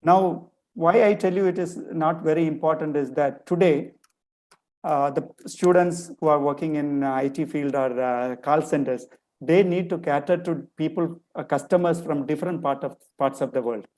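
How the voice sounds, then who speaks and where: A man's voice: on the high side, moderate pace, darkish tone. A man from India with a Tamil English accent and a slight Malayalam influence, giving an earnest webinar talk over a video call and often repeating his key phrases. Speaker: guest lecturer. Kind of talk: webinar talk answering a question.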